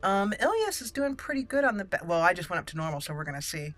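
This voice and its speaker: A woman's voice: fairly low pitch, very animated, quick pace, fairly bright tone, soft background music. A woman hosting a game playthrough, chatting casually and playfully as she thinks aloud. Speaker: gaming channel host.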